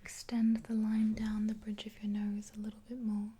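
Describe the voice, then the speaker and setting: A woman in her twenties doing an ASMR roleplay in a gentle, unhurried, breathy whisper, reassuring and close to the mic. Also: mid-range voice, completely flat delivery. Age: 20s. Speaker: ASMR performer playing a makeup helper. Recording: hissy close-up with clicks.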